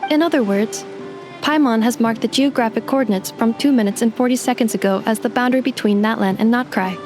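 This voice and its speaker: A woman's voice: on the high side, a little fast, close to an everyday voice. A woman voicing a polite young female robot who speaks in precise, formal, computer-like phrases. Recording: game audio with music behind.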